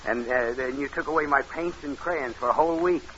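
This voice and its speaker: An actor playing a polite, boyish grown nephew with a hesitant, stammering manner in an old radio drama. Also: male voice, medium pitch, brisk, a bit bright, lightly acted. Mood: sheepish, amiable. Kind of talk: 1940s radio drama acting. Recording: muffled, hissy old radio recording.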